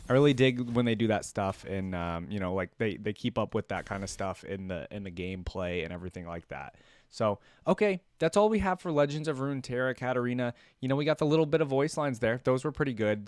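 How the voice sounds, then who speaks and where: A man's voice: lowish voice, clear, resonant tone, no echo, studio quality. A man giving casual reaction commentary as host, easygoing and thinking aloud as he goes. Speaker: host of a fan reaction video.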